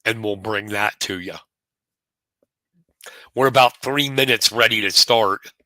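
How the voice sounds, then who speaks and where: A man with a hoarse voice doing live wrestling commentary from a press box, running through the rankings. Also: fairly low pitch, pushed and bright, no room sound, low-quality recording. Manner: rapid rundown of rankings, conversational. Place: press box at a sports venue.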